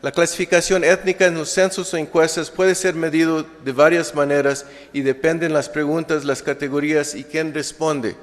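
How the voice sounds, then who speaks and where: A man's voice: a little high, level tone, normal speed, few pauses. A man giving an academic conference talk in a language that is not his first, with frequent fillers and restarts. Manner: hesitant, many fillers and restarts; speaking a second language. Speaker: conference speaker. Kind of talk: academic presentation. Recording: reverberant lecture hall.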